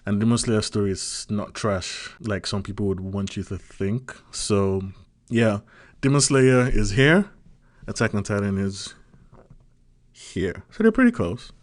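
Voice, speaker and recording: A man's voice: lowish voice, animated, measured pace, no room sound. A man with a Nigerian accent giving casual solo commentary as a vlogger, chatty and loose, checking in with the viewer as he goes. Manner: chatty, loose, peppers talk with "like" and "right?".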